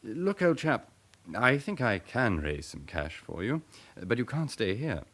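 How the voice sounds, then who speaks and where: An English actor playing a suave, sardonic, guarded crook in a British radio drama. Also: male voice, mid-range voice, sing-song, unhurried, fairly bright tone. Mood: evasive, reassuring.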